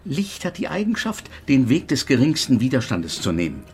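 An actor playing an invisible male physics professor in a German radio drama, haughty and exasperated, lecturing with pedantic precision in a husky voice. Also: mid-range voice, huge pitch swings, moderate pace, darkish tone. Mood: lecturing, precise.

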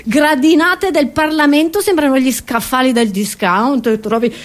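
Woman acting a high-pitched, indignant old grandmother. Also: unhurried. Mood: scornful, indignant. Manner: rambling, stammering, exclaiming, repeating herself. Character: indignant, rambling old pensioner grandmother reminiscing about her youth. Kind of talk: theatrical comic monologue.

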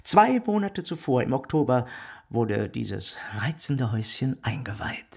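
A man's voice: medium pitch, sing-song, measured pace, vocal fry, breathy edge, full character voice. An actor playing a self-satisfied, well-off mayor in a radio drama, matter-of-fact as he shows off. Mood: smug, matter-of-fact. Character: smug, well-off bourgeois mayor showing off his love nest.

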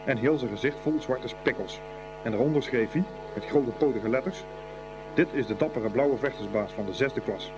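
A man's voice: medium pitch, normal speed, noisy background, close to an everyday voice. A man narrating a scripted boys' school story for a radio play. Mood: storytelling.

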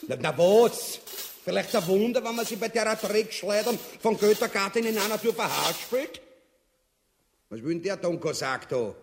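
Radio drama tyrant: Austrian accent, slightly high voice, self-important, blustering, abusive. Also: male voice, lively intonation, moderate pace, in a large hall, noisy background. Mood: irate. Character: bullying, pompous tyrant chairing his finance councillors.

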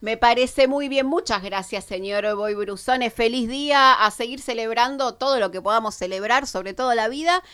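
Female Argentine radio host, casual delivery, slightly high pitch. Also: normal speed, few pauses, pushed and bright, smooth.

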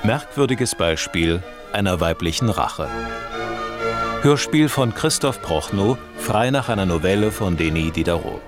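A man's voice: low-pitched, animated, measured pace. A man working as an announcer, reading out the credits of a radio play. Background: music.

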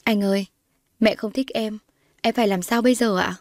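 A woman's voice: medium pitch, fairly even intonation, normal speed, darkish tone, no room sound, lightly acted. A timid teenage girl acted by a woman narrator in an audio drama. She speaks meekly and deferentially, earnest and fearful, in a dry recording. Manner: meek, pleading, deferential. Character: timid, earnest fifteen-year-old orphan girl, polite and fearful.

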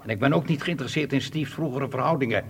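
An actor playing a cold, ruthless spy boss in a radio drama, with a commanding, menacing, deliberate delivery. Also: male voice, medium pitch, a little hiss or hum, natural voice. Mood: cold, controlled. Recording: hall-like long reverb.

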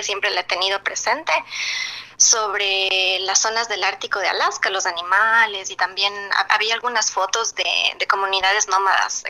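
A woman from Ecuador, a dancer and researcher, answering interview questions over a video call with many pauses and fillers. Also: mid-range voice, bright, forceful tone, low-quality recording. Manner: thoughtful, many fillers and restarts.

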